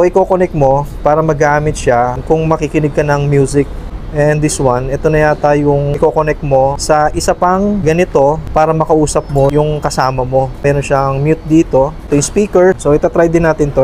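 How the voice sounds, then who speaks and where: A man's voice: fairly high pitch, steady tone, a little fast, a little mellow, a little hiss or hum. A man with a Filipino accent giving a casual unboxing and demo talk to viewers as a vlogger. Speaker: vlogger, product reviewer.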